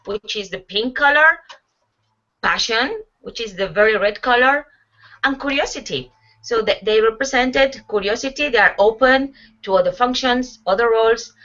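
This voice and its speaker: A woman in her forties from Spain with a Spanish accent, a team coach giving a webinar presentation that is steady and explanatory, with frequent fillers. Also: mid-range voice. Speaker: guest speaker, team coach. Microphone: online call audio. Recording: muffled web call audio.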